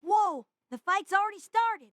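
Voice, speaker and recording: A woman voicing a cheerful, hyperactive teenage boy student mage in an audiobook, with an excitable, brash and eager manner. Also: extremely high pitch, measured pace, no echo, studio quality, pitched above the performer's own voice, exaggerated voice. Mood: excited.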